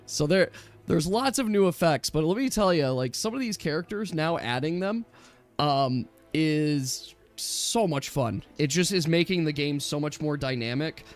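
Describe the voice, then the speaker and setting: Higher-pitched man chatting as game master. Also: lively intonation, unhurried, soft background music. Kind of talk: casual chat with players.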